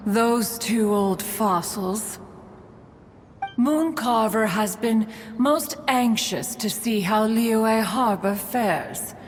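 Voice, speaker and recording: A woman voicing an aloof elder female crane spirit in a game, speaking in a pompous, archaic way. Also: on the high side. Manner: pompous, archaic, calls herself "one". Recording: reverberant, with game music.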